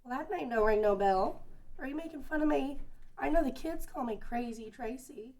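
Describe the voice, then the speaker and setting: A woman's voice: sits high, normal speed, warm tone. Woman playing quirky folksy healer, distant mic. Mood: suspicious, touchy.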